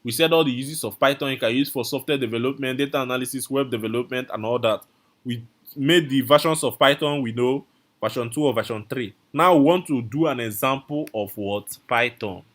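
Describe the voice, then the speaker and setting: A man's voice: mid-range voice, brisk, a bit bright. A man teaching a live online coding class, repeating his instructions and calling out viewers' names from the chat. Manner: repetitive, coaxing, calls out viewer names.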